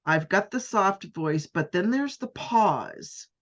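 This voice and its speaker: A middle-aged woman hosting a podcast in casual, animated chat, often repeating words and restarting her sentences. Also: mid-range voice, takes it slowly. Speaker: podcast host.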